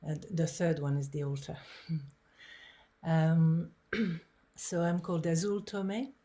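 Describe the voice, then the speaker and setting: Woman in her 60s from lebanon, low voice, mellow tone, gentle facilitator. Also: takes it slowly. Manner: calm, gentle, inviting. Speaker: prayer facilitator. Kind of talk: guiding an online prayer gathering. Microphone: video call microphone.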